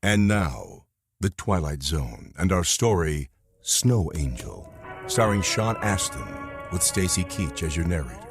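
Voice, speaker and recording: A man's voice: low voice, sing-song, a little slow, faint music behind. A man announcing a radio drama in a formal scripted style.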